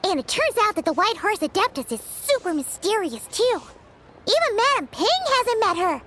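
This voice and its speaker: Tiny squeaky fairy girl sidekick, crazy high, bouncy and eager, game voice. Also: very animated, moderate pace, bright, forceful tone, slight background noise, exaggerated voice. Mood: curious. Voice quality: squeaky, childlike. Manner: eager, bubbly, speaks of herself in third person. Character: tiny, excitable, childlike floating fairy girl companion. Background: music.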